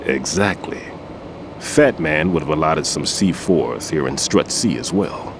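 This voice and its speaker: A man's voice: extremely low pitch, animated, a little slow, noisy background, full character voice. An actor playing an older male bomb-disposal expert and rueful mentor, patient and lecturing. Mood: instructive. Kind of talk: game cutscene acting. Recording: music under the voice.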